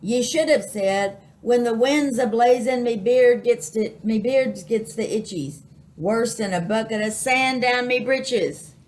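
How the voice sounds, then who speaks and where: A woman's voice: mid-range voice, unhurried, a bit bright, close to an everyday voice. Woman putting on a blustering captain man. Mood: scolding, emphatic. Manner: bellowing, salty pirate dialect. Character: gruff blustering pirate captain man, cranky and demanding. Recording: noticeable background noise.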